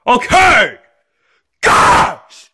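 A man voicing a rude, whiny young boy in a homemade puppet-style story, yelling in high bursts. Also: huge pitch swings, moderate pace, exaggerated voice. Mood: irritated yelling. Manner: whiny, defiant, yelling outbursts.

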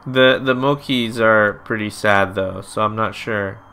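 A man's voice: lowish voice, a little slow, bright, forceful tone, clean tone. A man giving casual, emotional let's play commentary, gushing as he reacts to the game. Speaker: gaming YouTuber.

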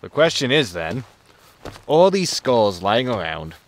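An exasperated starship captain, acted by a man in a sci-fi comedy audio drama. He is sarcastic and commanding, with very animated intonation over slight background noise. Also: mid-range voice, measured pace, low-quality recording. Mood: wry, commanding. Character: wry, exasperated male spaceship captain.

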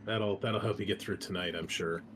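A man's voice: low voice, fairly even intonation, fairly quick, music in the background. A man hosting a tabletop game in casual chat, joking and swearing freely as game master.

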